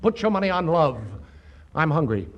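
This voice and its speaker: An actor playing a brash, hammy, fast-talking Hollywood screenwriter in a 1940s radio comedy, theatrical one moment and offhand the next. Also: male voice, on the high side, sing-song, muffled recording, a little hiss or hum. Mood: glib, teasing. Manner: theatrical, swinging from grand to offhand.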